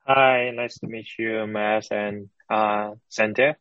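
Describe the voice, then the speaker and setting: A man from Hong Kong with a Hong Kong accent, answering interview questions as a startup founder in halting English with frequent pauses. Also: on the low side, fairly even intonation, no room sound, lo-fi sound.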